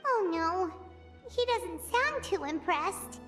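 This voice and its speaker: A woman voicing a tiny, cheeky, childlike fairy companion in a video game, with a squeaky, piercing voice and blunt exclamations. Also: animated, slow pace, fairly bright tone, soft background music, full character voice. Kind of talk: English video game voice acting.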